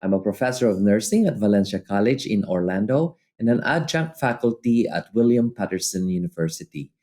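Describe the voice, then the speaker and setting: Male podcast host, formal interview style, soft-edged and mellow voice. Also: fairly low pitch, animated, brisk. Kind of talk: formal scripted introduction and interviewing.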